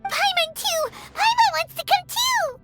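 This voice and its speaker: A woman voicing a cheeky, childlike little fairy companion in a video game, with a squeaky, exclamatory delivery. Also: animated, unhurried, fairly bright tone, exaggerated voice. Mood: eager. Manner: speaks of herself in third person, exclamatory. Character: Paimon, tiny childlike floating fairy girl companion, cheeky. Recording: music behind the voice.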